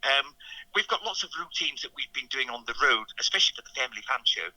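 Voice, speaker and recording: A man's voice: medium pitch, lively intonation, fast, pushed and bright, dry recording, rough recording. A man with a 1950s Received Pronunciation accent, a comedy entertainer talking as a radio interview guest in a plummy, theatrical way.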